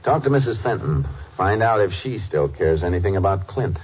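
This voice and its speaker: Low, probing Texas lawman, vintage radio. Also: expressive, slight background noise. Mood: thoughtful. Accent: American. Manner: measured, laconic, questioning. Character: steady, probing Texas Ranger investigator. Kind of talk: 1950s radio drama acting. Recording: muffled vintage radio recording.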